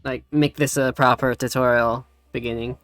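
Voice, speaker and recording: A woman's voice: rumbling low, steady tone, normal speed, no echo, soft background music. A woman hosting a tutorial livestream in casual chat. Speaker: tutorial stream host.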